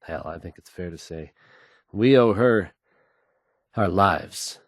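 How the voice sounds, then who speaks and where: An actor playing a confident, smooth male radio news host in a video game, folksy and dramatic in his announcing. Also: low voice, slow, no echo, studio quality. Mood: confident, emphatic.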